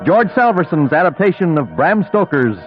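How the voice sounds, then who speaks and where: A formal male announcer opens an old radio drama, high-voiced and very animated, with a muffled sound. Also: normal speed. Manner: formal, declamatory. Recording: dull vintage radio recording over music.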